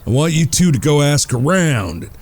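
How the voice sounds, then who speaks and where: An actor playing the dry, bossy, sardonic leader of cowboy outlaw brothers, in a Western audio drama. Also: male voice, on the high side, sing-song, normal speed. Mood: firm, exasperated.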